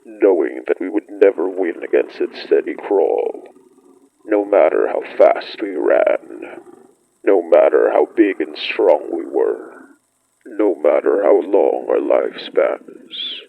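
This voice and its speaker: An ancient great wolf of a horror audio drama, acted by a man, speaks as a solemn storyteller. The voice is very low and full of gravel, moving slowly through poetic, measured phrases. The sound is slightly dull. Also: animated, full character voice. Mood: grave, reverent. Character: ancient great wolf-like beast, solemn pack elder, recalling primeval winters. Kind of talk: scripted dramatic monologue, horror fiction podcast.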